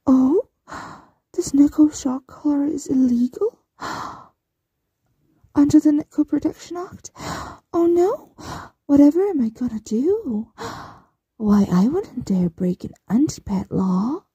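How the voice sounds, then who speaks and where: A woman playing a possessive, smugly menacing mistress in an ASMR roleplay, breathy and often whispering, with a teasing, condescending coo. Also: high-pitched, animated, dark tone, no echo, muffled recording, full character voice. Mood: mock sympathy. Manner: teasing, condescending, drawn-out cooing.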